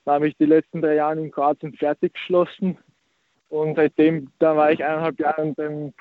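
A man's voice: on the high side, level tone, normal speed, no echo. A nineteen-year-old man from Austria, a young tennis player, answering interview questions hesitantly and repeating filler words. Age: teens. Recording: tinny phone-like line.

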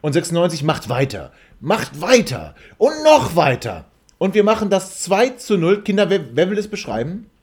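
A man's voice: sits high, very animated, moderate pace, breathy edge. A man hosting a casual football fan chat, teasing and repeating his phrases for emphasis. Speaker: podcast host.

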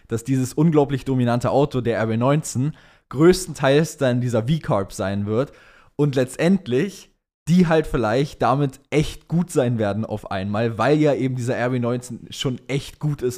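A man's voice: mid-range voice, darkish tone. Young man chatting casually on a podcast. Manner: slangy, peppers speech with English words. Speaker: podcast co-host.

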